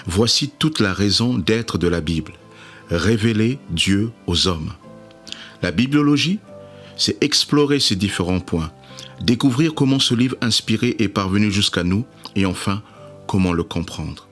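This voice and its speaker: A man reading a scripted promotional narration in a measured, declamatory way. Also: lowish voice, expressive, faint music behind.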